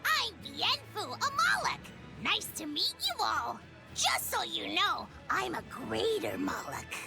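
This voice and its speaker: A woman voicing a small, excitable male spirit servant in a video game, squeaky and childlike, melodramatic and whiny. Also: slow, gravelly, music in the background, full character voice. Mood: perky, boastful. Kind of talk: cartoonish video game acting.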